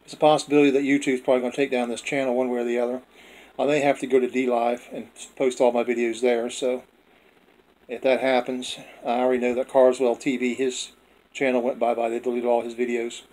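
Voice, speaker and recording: A man's voice: mid-range voice, level tone, fairly quick, a little mellow, poor audio. A man hosting a homemade cooking video, talking casually in a plainspoken, folksy way. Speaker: host of a home cooking video. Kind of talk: casual vlog narration.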